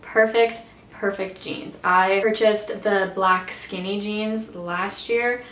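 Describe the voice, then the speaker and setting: A woman's voice: mid-range voice, steady tone, slow pace, fairly bright tone, roomy sound, poor audio. Chatty woman with an allergy-nasal voice. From Canada. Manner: chatty, rambling, lots of "like" fillers. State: slightly nasal and raspy from allergies. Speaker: fashion vlogger. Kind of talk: casual try-on haul chat. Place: bright bedroom.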